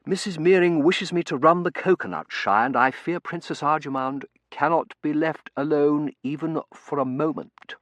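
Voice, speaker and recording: A man's voice: a little high, normal speed, hardly any pauses, a bit bright, no room sound, voice pushed up, natural voice. A man voicing a solemn, courteous English manservant in an audiobook, formal and deferential. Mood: grave. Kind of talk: audiobook character acting.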